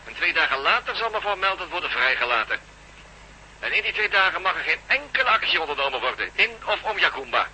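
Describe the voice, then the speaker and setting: Sharp, mocking villain sheriff. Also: male voice, a little high, sing-song, fast talker, slight background noise, exaggerated voice, low-quality recording. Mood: threatening, commanding. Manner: mockingly polite, threatening. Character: smug, mocking male villain, a sheriff holding a hostage. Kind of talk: radio drama acting.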